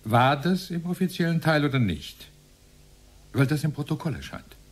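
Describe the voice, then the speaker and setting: An actor playing a stern presiding judge in a radio play, husky and pressing as he questions a defendant. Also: fairly high pitch, animated, moderate pace. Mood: impatient. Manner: probing, pressing, corrective. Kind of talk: radio play courtroom dialogue.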